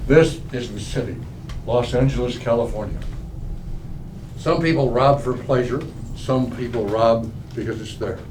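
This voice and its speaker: A man playing a deadpan, clipped police detective in an old-time radio parody. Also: mid-range voice, measured pace, close to an everyday voice. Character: deadpan, dogged, terse male police detective. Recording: distant mic, noisy stage recording.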